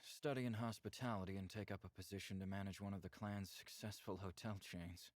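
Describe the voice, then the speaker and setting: A man acting as a reserved alien lover in a visual novel, with a very low voice in a dry, close sound. His phrasing is measured and formal, candid but composed. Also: fairly even intonation, dry recording, natural voice. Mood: calm, candid.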